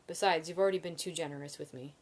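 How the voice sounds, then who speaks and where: A woman's voice: low-pitched, fairly even intonation, quick pace. A woman reading as a wry young male student. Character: young man, a quick-witted poor student.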